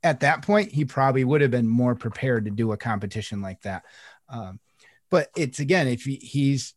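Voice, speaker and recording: A man in a casual coaching podcast chat, talking through ideas in a relaxed, easygoing way and often restarting his phrases. His voice sits at a middling pitch and sounds close and dry, with almost no room around it. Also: normal speed, no room sound. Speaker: life coach, podcast co-host.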